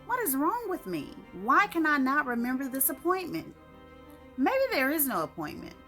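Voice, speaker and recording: Woman reading as a frazzled guy, emphatic, raised pitch, storybook style. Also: sing-song, moderate pace, fairly bright tone, soft background music. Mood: baffled. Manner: emphatic, exclaiming self-questioning. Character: anxious, exasperated man puzzling over forgotten appointment.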